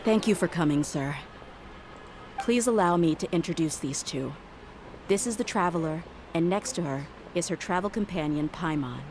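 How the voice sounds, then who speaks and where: An actress playing a proud, earnest young female warrior in a video game, measured and resolute. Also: fairly low pitch, a little mellow, lightly acted. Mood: polite, serious. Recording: clear noise behind the voice.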